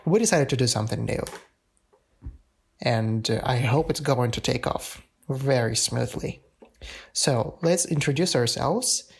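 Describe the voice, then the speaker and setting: A man's voice: mid-range voice, a little slow, creaky, breathy edge. A man in his early twenties co-hosting a casual podcast with a friend, often laughing and complimenting her. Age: 20s. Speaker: podcast co-host. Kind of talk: casual chat.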